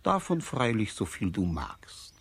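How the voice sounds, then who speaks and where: Slow, husky, solemn old wise man. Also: fairly low pitch, heavily acted. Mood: solemn, instructing. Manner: slow, deliberate, expressive, solemn. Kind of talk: children's radio play acting.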